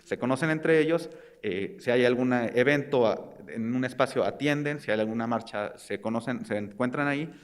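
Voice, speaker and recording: A young man with a Mexican accent giving an academic research presentation, speaking hesitantly and often searching for words. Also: mid-range voice. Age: young adult. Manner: hesitant, halting delivery with searching pauses. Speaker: graduate student presenting research.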